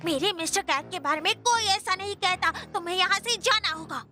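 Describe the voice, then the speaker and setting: A woman's voice: very high-pitched, fairly quick, noisy background. A woman voicing a sweet, emotional little girl devoted to her pet cat in a Hindi cartoon dub, earnest and dramatic. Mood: indignant.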